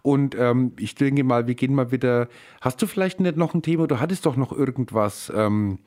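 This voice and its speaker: A man with a Franconian accent talks as a radio co-host. His pitch is medium, and he chats off the cuff in a joking, bantering way. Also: normal speed, a little mellow. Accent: Franconian (Nuremberg). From Franconia, Germany. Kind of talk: casual unscripted chat.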